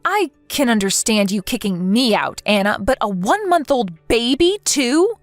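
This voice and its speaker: Woman as aggrieved forties mother, earnest, measured, animated pitch, dry studio sound, story drama. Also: no room sound, faint music behind. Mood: indignant. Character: wronged mother over forty, indignant yet polite.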